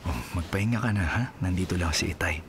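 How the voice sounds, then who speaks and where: A man's voice: low-pitched, fast talker, a little hiss or hum. An actor playing a playful, charming policeman husband and doting father in a Filipino audio drama, teasing and breaking into song. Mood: tender, coaxing.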